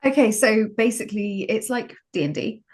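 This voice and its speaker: A woman's voice: on the high side, normal speed, darkish tone. A woman talking casually and explaining things as a guest game writer on a panel.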